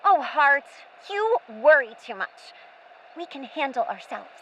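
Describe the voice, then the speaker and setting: A woman's voice: sits high, big pitch swings, measured pace, bright, forceful tone, full character voice, poor audio. A woman playing a bold, trusting young girl adventurer in an audio drama, eager and headstrong. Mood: stubborn.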